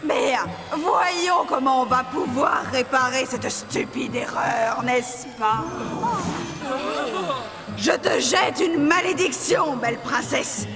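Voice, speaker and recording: A woman's voice: sits high, takes it slowly. A woman voicing a wicked, imperious witch fairy in a children's cartoon, theatrical and commanding. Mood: menacing, outraged. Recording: long reverb, music underneath.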